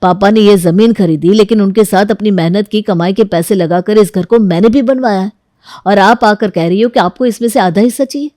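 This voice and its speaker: A woman's voice: mid-range voice, brisk, dark tone, dry recording, studio quality, natural voice. A woman playing an aggrieved, hard-working brother in a Hindi audio story, with a reasoning way of talking.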